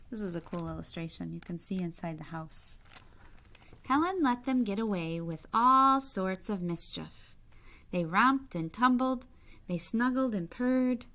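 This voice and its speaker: A woman reading a children's picture book aloud like a teacher, with expressive, deliberate phrasing and short asides to young listeners. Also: mid-range voice. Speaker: read-aloud storyteller, teacher-like.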